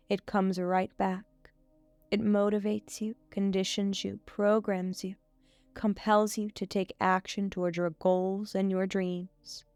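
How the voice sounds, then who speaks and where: A woman reading a scripted guided meditation in a soothing, measured way with frequent pauses. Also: mid-range voice, darkish tone, dry recording.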